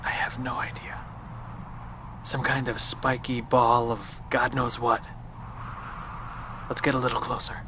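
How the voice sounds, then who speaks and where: Audio drama: male actor for an inquisitive, persuasive survivor, earnest and urgent, mid-pitched, with background noise. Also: little pitch movement, a little slow, long pauses, close to an everyday voice. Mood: hushed, cautious.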